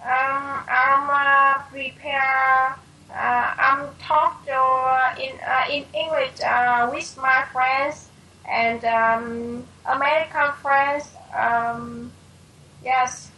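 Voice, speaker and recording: A woman's voice: sits high, fairly even intonation, drawn-out words, sharp tone, soft background music, low-quality recording. A young woman with a Vietnamese accent, hesitantly searching for words over a video call. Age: young adult. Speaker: caller. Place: dark room indoors.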